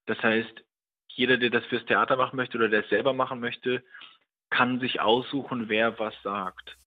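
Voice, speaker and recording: A man in his thirties, a writer being interviewed by telephone, talking in a reflective, halting, thoughtful way. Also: medium pitch, steady tone. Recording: dull, narrow telephone line.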